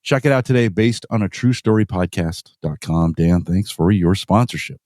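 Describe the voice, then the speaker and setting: A man co-hosting a podcast in casual chat, his voice deepened by a cold. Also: normal speed, darkish tone, no echo, clean studio sound. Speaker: podcast co-host.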